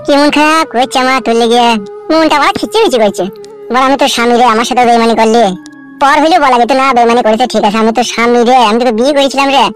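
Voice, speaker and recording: Forlorn cartoon husband male actor: high-pitched, lamenting, self-pitying. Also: quick pace, faint music behind. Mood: heartbroken. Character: forlorn, whiny abandoned village husband. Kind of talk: comedy cartoon dub.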